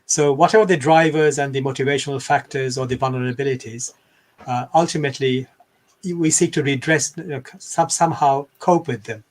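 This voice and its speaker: A man's voice: medium pitch, steady tone, brisk. A man from Bangladesh in his fifties, a scholar and podcast guest, telling his life story in a thoughtful, rambling way full of digressions. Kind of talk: reflective interview storytelling.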